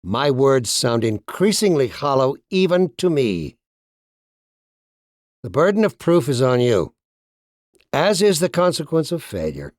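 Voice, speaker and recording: A polished, authoritarian administrator from a video game, addressing his subjects like a statesman. He is pompous and formal, with measured oratory in a mid-range male pitch and a dry, close sound. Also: very animated, darkish tone, no echo. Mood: stern warning. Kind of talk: scripted dramatic speech in a video game.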